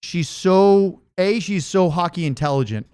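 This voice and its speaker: Man, podcast host, somewhat high pitch, crisp clear tone, dry sound, enthusiastic, casual sports chat. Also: lively intonation, unhurried, a little mellow, dry recording.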